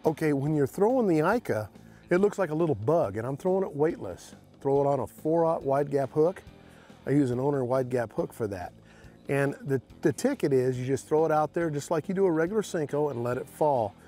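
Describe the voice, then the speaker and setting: A middle-aged man hosting a fishing show, explaining tackle in a folksy way from a bass boat on an open lake. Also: fairly quick, faint music behind. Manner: folksy, excited when landing fish. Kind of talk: outdoor fishing instruction.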